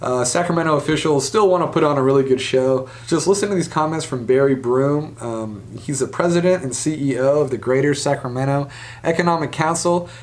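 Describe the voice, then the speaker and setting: A man's voice: on the high side, brisk. A man hosting a sports show, giving casual, conversational commentary with speculative asides. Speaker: sports podcast host.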